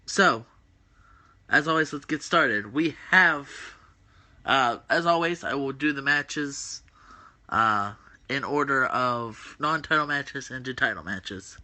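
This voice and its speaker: A man's voice: mid-range voice, lively intonation, slow, sharp tone. A man with a groggy voice hosting a casual livestream, rambling with many fillers and answering viewers' comments. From West Virginia. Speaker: host of a wrestling predictions stream.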